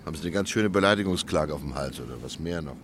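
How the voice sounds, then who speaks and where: A man's voice: extremely low pitch, animated, quick pace, slight background noise. A man playing a stubborn, uneasy snack kiosk keeper in a German radio play, plain-spoken and curt. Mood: dismissive.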